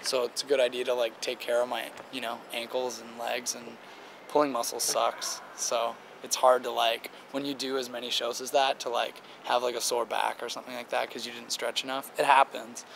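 A man in his late twenties, a band singer, answering interview questions in a relaxed, chatty way, his voice a little rough and strained. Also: medium pitch, brisk, a little hiss or hum, poor audio. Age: 20s. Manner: relaxed, chatty, many "like" fillers. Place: outdoor table by a river. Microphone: handheld interviewer mic near the table.

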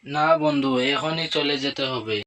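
A man playing a courteous visiting friend in scripted cartoon dialogue, with a measured delivery. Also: on the high side, sharp tone. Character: polite, devout male friend visiting, a father.

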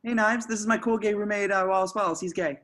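A man's voice: high-pitched, fairly even intonation, quick pace, fairly bright tone. A man playing an awkward, defensive slacker in his twenties at a table read, hesitant and rambling. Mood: awkward, casual. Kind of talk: table-read acting over video call. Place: remote group video call.

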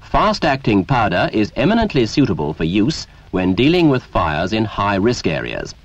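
A British man reading a scripted 1970s training film in a formal, measured, emphatic way, as a narrator. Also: lowish voice, wildly swooping pitch. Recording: dull, lo-fi 1970s 16mm film soundtrack.

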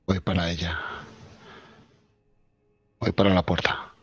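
A man from plays a tabletop game, talking casually as his character. His voice is rough and husky. The recording is very dry and a little dull. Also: deep voice, level tone, slow, fairly bright tone, no room sound. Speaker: tabletop role-playing player. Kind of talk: casual in-character role-play.

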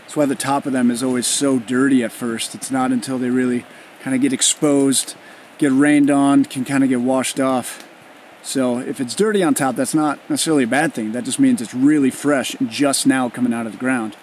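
Excited man vlogging in a rainy forest. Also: mid-range voice, moderate pace, a little hiss or hum. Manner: excitable, enthusiastic reactions. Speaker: channel host. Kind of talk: casual vlog chat and voice-over. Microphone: handheld camera close to the face.

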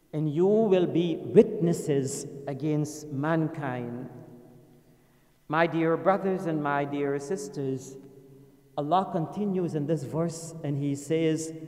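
A man's voice: a little high, mellow. Emphatic man preaching in an echoey hall. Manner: earnest and emphatic, repeating phrases, slows for stress. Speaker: imam giving Friday sermon. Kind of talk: sermon. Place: mosque prayer hall. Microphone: stand mic at a lectern.

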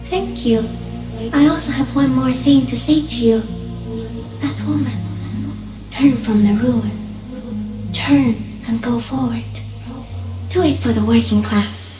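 A woman voicing a serene, melancholy insect creature in a video game, speaking slowly and prophetically. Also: a little high. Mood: gentle, urging. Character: giant stick-insect cryptid, serene, melancholy, oracular creature. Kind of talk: video game dialogue acting. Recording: echoing game voice over music.

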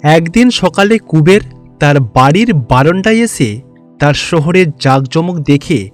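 A man's voice: on the high side, very animated, unhurried, faint music behind. A man narrating a scripted fairy tale as storyteller.

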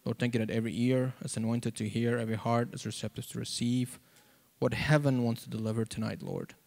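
A man gives an informal sermon and testimony into a handheld mic, halting and conversational, with lots of "like" and "you know.". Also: mid-range voice, little pitch movement, creaky, a little mellow. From South Africa. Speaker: preacher. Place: church auditorium, on stage before seated congregation. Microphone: handheld microphone.

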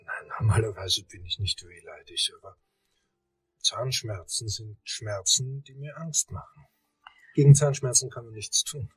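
Casting: wry, reluctant investigator, lowish husky voice, radio crime drama. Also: male voice, a little slow, a bit bright, no room sound, heavily acted, poor audio. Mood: polite, tentative.

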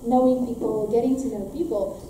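A woman's voice: a little high, fairly even intonation, fairly quick, dark tone, slight background noise. Young woman, from Romania, speaker at a lecture, earnest, restarts often, far from the mic, very echoey room. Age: young adult. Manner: earnest, with frequent restarts and fillers, gesturing. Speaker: graduate student presenter. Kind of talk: answering audience questions at a talk. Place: lecture room at a podium, indoors. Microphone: room mic, heard from a distance.

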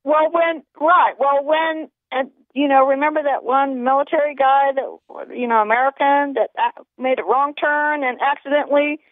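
A woman calling in to a radio show, speaking in a hesitant, halting way. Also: high voice, steady tone, dry recording. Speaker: caller. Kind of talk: call-in radio talk. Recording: tinny phone line.

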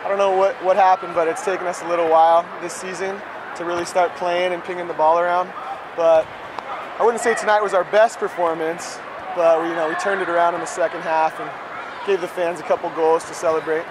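Thirtyish male athlete, easygoing post-match talk, higher voice, arena noise. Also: fairly quick. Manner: relaxed, loose answers with fillers. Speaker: soccer player. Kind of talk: postgame interview. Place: indoor arena floor. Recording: noisy arena.

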